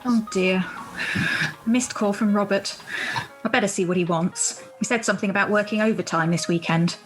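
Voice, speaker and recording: A woman's voice: mid-range voice, normal speed, natural voice, low-quality recording. A woman playing a polite, preoccupied dinner-party hostess type in an amateur radio play. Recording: home video-call recording, faint music.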